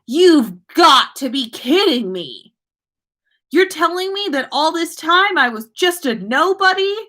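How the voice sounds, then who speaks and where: A woman's voice: sits high, big pitch swings, slow, sharp tone, pitched above the performer's own voice, full character voice. A woman voicing an earnest, easily put-out schoolboy wizard hero with a hesitant, grumbling manner, in a storybook reading. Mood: indignant. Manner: hesitant, grumbling, indignant when slighted.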